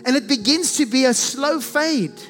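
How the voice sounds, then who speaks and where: South African preacher, very high male voice, emphatic and repetitive, leans hard on key words; long hall reverb with faint music underneath. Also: fairly bright tone. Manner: emphatic, repeats strings of synonyms, stretches key phrases slowly. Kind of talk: sermon.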